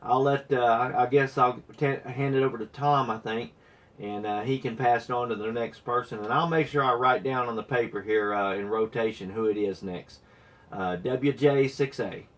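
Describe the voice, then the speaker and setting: A man checking in casually as an amateur radio operator over AM shortwave, talking in a halting, unhurried way. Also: medium pitch, fairly bright tone. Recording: distant-sounding AM shortwave radio transmission.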